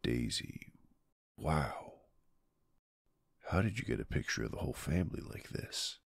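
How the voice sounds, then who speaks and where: A man in an ASMR roleplay playing a doting mafia boss husband and gentle father, speaking tenderly and soothingly with soft pauses. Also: very deep voice, big pitch swings, creaky, breathy edge, dry recording, heavily acted. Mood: touched, loving. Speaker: roleplay audio performer.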